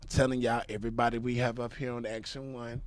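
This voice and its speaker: Male American host, mid-pitched and dry-sounding, effusive, slangy, casual chat. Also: flat delivery, quick pace, no room sound. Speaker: interview show host.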